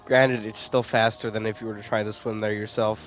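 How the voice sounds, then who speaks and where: Man, fairly low voice, casual gaming commentary, musing aloud, some music behind. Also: level tone, fairly quick, low-quality recording. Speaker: gamer commenting on his playthrough.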